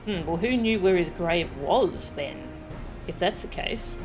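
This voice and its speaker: A woman's voice: on the low side, lively intonation, a little slow, gravelly, poor audio. Casual woman streamer over faint music. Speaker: video game streamer. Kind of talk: casual livestream commentary.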